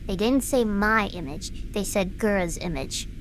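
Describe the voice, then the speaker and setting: A woman's voice: medium pitch, animated, measured pace, a little hiss or hum, natural voice, rough recording. A woman playing a defiant young performer in an audio drama, intense, stubborn and earnest. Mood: bitter. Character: driven, defiant young woman performer, bitter but passionate.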